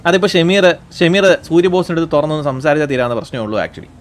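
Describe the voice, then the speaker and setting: Fast-talking, repetitive Kerala man. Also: fairly high pitch, lively intonation. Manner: repetitive, bossy peacemaker. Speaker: roleplay gamer acting as mediating elder. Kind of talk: improvised game roleplay chat.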